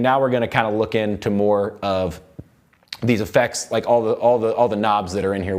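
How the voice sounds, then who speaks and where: An American man with a low-pitched voice teaches audio production in a recording studio. He explains each step casually and informally, often thinking aloud as he works through the software. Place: recording studio control room.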